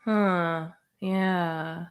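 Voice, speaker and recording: A woman's voice: on the low side, fairly even intonation, extremely slow, smooth. Hesitant woman in casual interview. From Hong Kong, born in the US. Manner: hesitant, self-effacing, thinking aloud. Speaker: guest puppeteer and storyteller.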